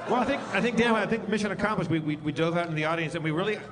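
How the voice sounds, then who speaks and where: A man's voice: high-pitched, very fast. A man co-hosting a live comedy podcast, wry and teasing, often stammering. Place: live stage with audience. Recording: live stage with loud crowd.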